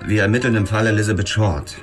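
An actor playing a sober, dogged police detective in a crime radio drama, terse and matter-of-fact. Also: male voice, fairly low pitch, fairly even intonation, fast, natural voice. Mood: brisk, businesslike. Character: hard-boiled male police detective narrating his case, dogged and sober. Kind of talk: German radio drama, first-person crime narration. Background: music.

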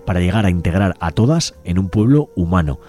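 A man presenting a community radio show, reading out a manifesto and describing a project in a measured, slightly halting way. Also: deep voice, lively intonation, a little mellow, soft background music. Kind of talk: community radio presentation, partly read aloud.

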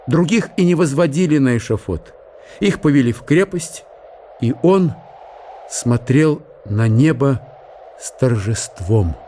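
A male narrator reads literary prose for a radio play. His voice is of medium pitch, delivered as scripted storytelling. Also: warm tone, a little hiss or hum.

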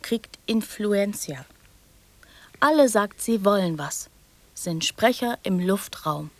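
A woman's voice: mid-range voice, lively intonation, slow, no echo. An actress playing a young girl in a radio drama, prattling and teasing in childlike fragments that often drop to whispers. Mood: defiant, prattling.

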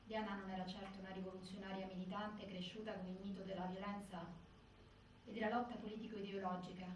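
An actress playing a resolute, grieving sister, delivering a measured, articulate stage monologue. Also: female voice, mid-range voice, level tone, lightly acted. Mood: grave, resolute. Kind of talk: scripted theatrical monologue. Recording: very echoey, distant, faint noise.

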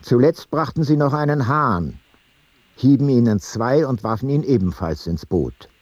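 An actor playing an ancient traveller who gives a measured, detached eyewitness account in a literary radio play. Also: male voice, medium pitch, expressive, darkish tone, no room sound, dull audio, close to an everyday voice. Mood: sober, observant. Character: sober ancient traveller reporting a foreign funeral rite.

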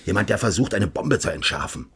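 Radio drama: shadowy ex-secret police official, very deep rough-husky voice, rhetorical, controlled, menacing. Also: male voice, sing-song, fairly bright tone, exaggerated voice. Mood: persuasive, menacing. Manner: measured, formal, rhetorical. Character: secretive ex-Stasi official, composed, persuasive, quietly menacing. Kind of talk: radio crime drama acting.

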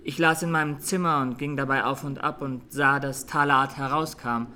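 A man playing a traumatised, earnest young Armenian student giving plain, deliberate testimony as a defendant in a radio drama. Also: mid-range voice, fairly even intonation, bright, forceful tone. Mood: weary, troubled.

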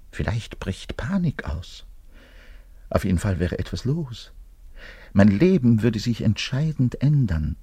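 An actor playing a neurotic, frustrated middle-aged double bassist who bares his self-loathing in a radio-play monologue. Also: vocal fry, husky, no echo, heavily acted. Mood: brooding, defiant. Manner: volatile, swinging between muttered confession and loud outbursts. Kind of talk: dramatic monologue radio play, confiding to the listener.

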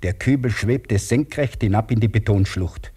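Crane driver narrating his job for radio drama, low husky voice, vivid but measured. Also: mellow. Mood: focused, tense. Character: male cable crane operator recounting his work. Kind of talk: scripted radio play narration.

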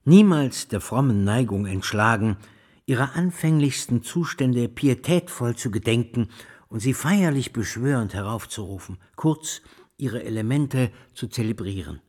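An erudite lecturer in a radio play, with a mid-pitched voice. He declaims ornate sentences deliberately and solemnly, with a devout, fervent streak. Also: lively intonation, darkish tone, slightly rough. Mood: solemn, exalted. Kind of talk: radio drama lecture, ornate rhetorical delivery.

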